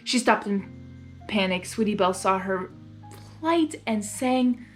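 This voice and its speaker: A woman reading fan fiction aloud as a host, gushing and giggly, breaking in with excited asides. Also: mid-range voice, unhurried, soft background music.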